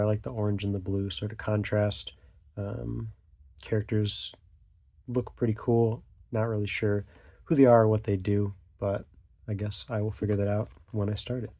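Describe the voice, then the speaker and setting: A young man with a low, mellow, stuffy voice, getting over a cold. He rambles through an unscripted vlog in a flat, even tone, full of ums and trailing thoughts. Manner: hesitant, many fillers and trailing thoughts. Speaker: channel host.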